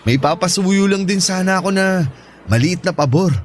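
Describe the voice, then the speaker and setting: A man's voice: sits high, moderate pace, a little hiss or hum, lightly acted. A man playing a caring, easygoing Filipino shipmate and buddy in an audio drama, encouraging in manner. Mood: generous, easygoing.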